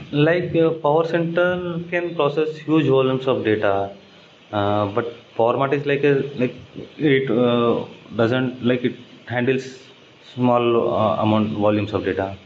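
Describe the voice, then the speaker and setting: A man giving halting, hesitant answers as a candidate in a technical interview. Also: medium pitch, fairly even intonation. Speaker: job candidate. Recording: dull, echoey, noisy call recording.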